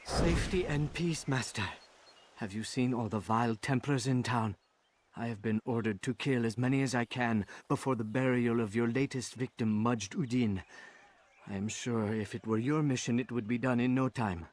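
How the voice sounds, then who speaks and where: An actor playing a fawning assassin informer. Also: male voice, mid-range voice, moderate pace, darkish tone, natural voice. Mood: deferential. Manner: deferential, eager.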